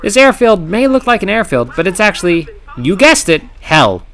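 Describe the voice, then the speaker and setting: A man narrating his own gameplay challenge in scripted voice-over, wry and sarcastic with playful dramatic pauses. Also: high voice, big pitch swings, fairly bright tone. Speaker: gaming channel host. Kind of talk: scripted comedic game commentary narration.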